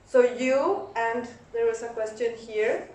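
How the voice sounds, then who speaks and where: Woman running the q&a, brisk, fairly high voice, echoey hall. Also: steady tone, a little mellow, a little hiss or hum. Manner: brisk, organizing. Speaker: session moderator. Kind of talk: moderating a Q&A. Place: conference hall. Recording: echoey hall, distant mic.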